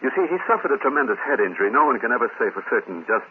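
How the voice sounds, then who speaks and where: An actor playing a worried, authoritative male doctor in an old-time radio drama, measured and educated but urgent. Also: medium pitch, sing-song, natural voice. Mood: grave, explanatory. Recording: muffled old radio broadcast, faint noise.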